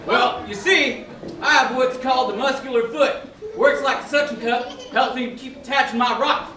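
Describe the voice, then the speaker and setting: A man's voice: very high voice, normal speed, bright, forceful tone. A man voicing a boastful, cheery little limpet in a children's educational puppet show, explaining things theatrically. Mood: explanatory. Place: live indoor show before an open house audience. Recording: echoey hall from far off, audience noise.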